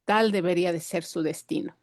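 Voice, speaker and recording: A woman whose first language is Purépecha, giving a conference talk and pausing often with fillers and restarts. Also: on the low side, steady tone, no room sound, studio quality. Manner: hesitant, many fillers and restarts. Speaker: invited speaker.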